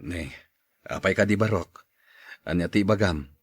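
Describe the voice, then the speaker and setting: A man's voice: sits low, a little slow, slightly rough, dry recording, clean studio sound. A man playing a wary older village father in an audio drama.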